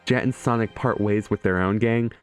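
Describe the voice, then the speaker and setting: A man's voice: fairly low pitch, steady tone, normal speed, smooth. A man who makes gaming videos, narrating and bantering in an irreverent, sweary, joking way. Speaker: gaming video creator and streamer. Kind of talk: scripted video narration mixed with casual stream banter. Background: music behind the voice.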